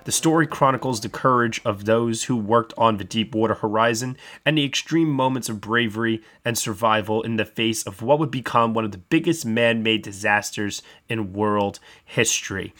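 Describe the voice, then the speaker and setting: A man hosts a film review podcast in an upbeat, chatty way. His voice is bright and forceful. He welcomes his guests and moves the talk along with ease. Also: on the low side, moderate pace, few pauses. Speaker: podcast host.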